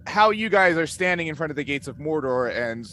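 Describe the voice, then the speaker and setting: A man chatting casually on a panel video call, explaining things in a halting, discursive way. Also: a little high, expressive, bright, forceful tone. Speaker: cast member and game master. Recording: noisy video call audio.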